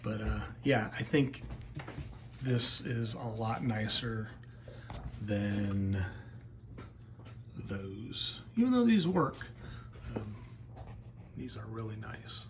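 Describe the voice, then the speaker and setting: A man's voice: fairly low pitch, lively intonation, very slow, pauses often, noisy background. A man giving a casual, unscripted hobby product demo in a hesitant, rambling, plain-spoken way. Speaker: hobbyist video presenter.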